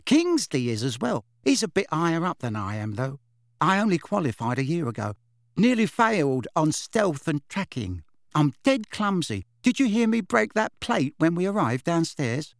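Man voicing a bright, chatty young witch, higher-pitched. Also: very animated, normal speed, vocal fry, dry recording, studio quality. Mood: proud, cheerful. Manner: chatty, blunt, colloquial. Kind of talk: audiobook character voice.

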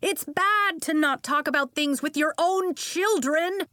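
Woman voicing a vibrant, brash storybook aunt, swooping pitch. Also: big pitch swings, measured pace, fairly bright tone, no echo, studio quality. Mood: exasperated. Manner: exclamatory, blunt, breezy. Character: vibrant, brash, outspoken, sturdy flying aunt.

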